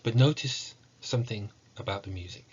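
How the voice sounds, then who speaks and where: A man in his forties giving an academic lecture in a measured, formal, scholarly way, recorded at home on a computer mic. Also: on the low side, darkish tone, breathy edge, dull audio. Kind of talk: academic lecture on video game music. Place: book-lined room at home, indoors.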